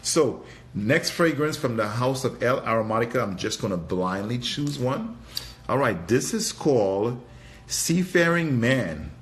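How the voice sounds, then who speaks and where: A man's voice: medium pitch, very animated, unhurried, a bit bright. A man from Trinidad giving a casual live product review, thinking aloud in a hesitant, musing way and often repeating his phrases. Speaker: fragrance reviewer and livestream host.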